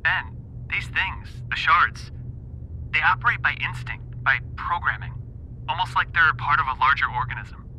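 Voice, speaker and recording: Man playing an earnest, bright-voiced professor on phone. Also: on the high side, normal speed, a little hiss or hum, low-quality recording. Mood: grave, urgent. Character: eager male professor, earnest scholar warning of returning threat. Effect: satellite phone voice. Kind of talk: audio drama acting.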